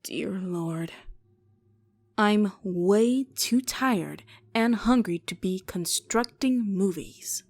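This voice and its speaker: A woman acts a weary, wry doctor in a fan-dubbed game. She has a medium-pitched voice and mutters to herself as if fed up and puzzling things out. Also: extremely slow, a little mellow, no echo. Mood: tired, exasperated. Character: weary, wry female doctor working on memories. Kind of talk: amateur game fan dub.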